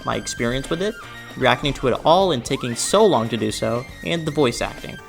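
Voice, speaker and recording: A person delivering a scripted, opinionated video essay in an expressive, emphatic way with conversational asides. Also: medium pitch, a little fast, creaky. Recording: music behind the voice. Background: background music.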